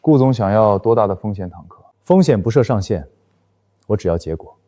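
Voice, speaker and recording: An actor playing a cold, demanding male corporate client in an animated workplace drama. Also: lowish voice, a little fast. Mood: stern.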